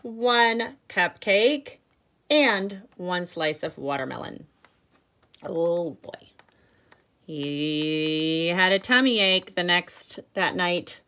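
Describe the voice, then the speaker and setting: A woman teacher reading aloud to children, with expressive emphasis and instructive explanatory asides. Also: on the low side, drawn-out words, clean tone. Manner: instructive, checks in with listeners.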